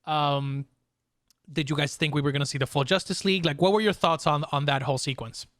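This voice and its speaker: Male podcast host, higher pitch, dry close sound, excitable and slangy, casual entertainment review chat. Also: fairly quick, no echo. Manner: excitable, slangy fan talk.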